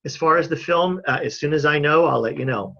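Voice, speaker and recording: A man talking earnestly and casually as an interview guest on a video call from home, thoughtful and halting with emphatic pauses. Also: a little high, creaky, pushed and bright. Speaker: interview guest, mental health advocate and filmmaker. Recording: slightly dull video-call audio.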